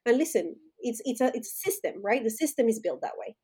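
Colombian-born woman in her thirties, guest on a podcast; breathy, slightly high, insistent and repetitive for emphasis. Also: lively intonation, quick pace, dark tone. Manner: emphatic, repeats key phrases for stress. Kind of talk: conversational interview.

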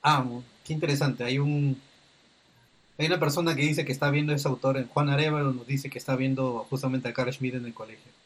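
A male podcast host talks in a relaxed, conversational way, often tagging his sentences with a questioning "¿no?". He pads his speech with fillers like "este". The sound comes from a video call. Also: mid-range voice, a little fast. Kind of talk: casual podcast interview.

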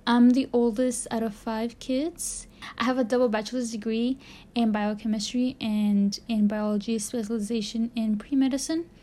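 A woman's voice: a little high, fairly even intonation, normal speed, mellow. A woman in her twenties from El Paso, co-hosting a podcast and telling stories in a laid-back, matter-of-fact way. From El Paso, Texas. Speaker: podcast co-host. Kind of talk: casual chat.

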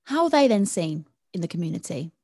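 A woman's voice: on the low side, very animated, brisk, darkish tone, no echo, clean studio sound. A woman interviewing a guest, asking probing questions and listening attentively. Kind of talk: interview conversation.

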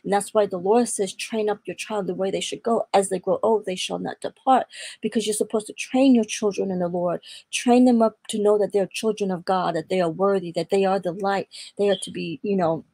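A young woman from Atlanta, Georgia, giving an earnest personal testimony in a faith interview, quoting scripture and pausing on fillers. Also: medium pitch, fairly even intonation, creaky, dark tone, dry recording. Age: young adult. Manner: earnest, preaching tone with scripture quotes. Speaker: guest.

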